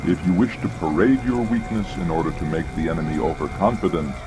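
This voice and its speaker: A man with a very low voice reads a dramatized classical treatise. His delivery is measured and solemn, and clearly audible music and rain play behind him. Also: expressive. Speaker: audiobook narrator. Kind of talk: dramatized scripted narration of a classical military treatise. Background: music and rain sounds.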